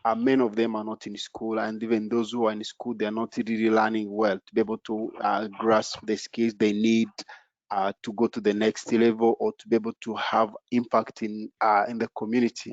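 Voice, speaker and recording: A man with a low, even voice that barely rises or falls, speaking over a dull, muffled video call. The sound is dry and close, with no room around it. He talks in halting bursts, leaning on "uh" and "you know" between phrases. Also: no room sound. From Democratic Republic of the Congo, raised in Uganda. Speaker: panelist. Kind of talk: personal story on an online panel.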